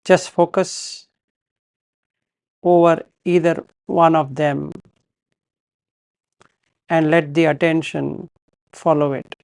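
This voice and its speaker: A man guiding a meditation as a teacher, giving calm, measured instructions in short phrases with pauses between them. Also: on the high side, gravelly, no room sound.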